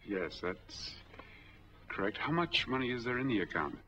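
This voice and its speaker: An American actor in his forties playing a smooth, easygoing drifter in a 1940s film drama. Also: medium pitch, very animated, moderate pace, pushed and bright. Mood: keen, confident. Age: 40s. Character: smooth, easygoing drifter applying for bank money. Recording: dull old 1940s film soundtrack.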